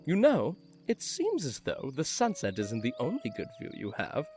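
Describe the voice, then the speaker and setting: Older retired carpenter, flamboyant and gracious, hugely animated intonation, fond teasing; audio play. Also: male voice, mid-range voice, moderate pace. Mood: teasing, coy. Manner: theatrical, effusive, affectionate teasing. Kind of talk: fan audio drama acting. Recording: music under the voice.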